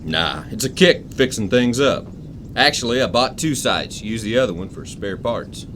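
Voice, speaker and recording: A man's voice: medium pitch, expressive, moderate pace, a bit bright, slight background noise. An actor playing an eccentric, suspicious older man, a retired engineer with folksy, clipped country phrasing, in an audio drama. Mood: chatty, proud. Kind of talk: full-cast audio drama acting.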